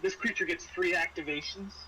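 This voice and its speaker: A man with a somewhat high voice acts as game master for a tabletop horror game. He narrates off the cuff, stammering and feeling for words. Clear noise runs through the room recording. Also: fairly even intonation, sharp tone, low-quality recording. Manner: halting, stammering, searches for words.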